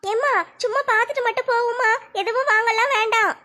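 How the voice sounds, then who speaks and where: A gentle teenage girl, voiced by a woman, pleads softly. Her voice is very high and slightly brighter than the performer's usual one. Her delivery is modest and coaxing. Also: fairly even intonation, fast. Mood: pleading. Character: teenage daughter, modest and loving.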